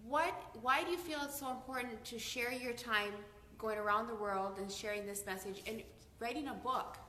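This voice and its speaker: A woman interviewer asks her question hesitantly and restarts several times. Her voice is bright with a middle pitch. It sounds roomy and echoey, with a faint hiss. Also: normal speed.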